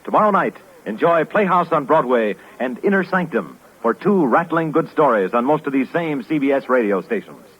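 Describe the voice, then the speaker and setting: Husky, emphatic 1950s American radio announcer man. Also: mid-range voice, animated, normal speed, few pauses, a bit bright. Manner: formal, emphatic. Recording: muffled vintage radio broadcast recording.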